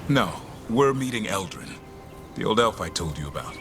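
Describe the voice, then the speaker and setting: Low-voiced, gruff elf warrior. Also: male voice, sing-song, moderate pace, loud music behind the voice. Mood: fond, earnest. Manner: gruff, defensive when teased. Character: proud, gruffly fond male elf warrior and monster hunter. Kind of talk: video game voice acting.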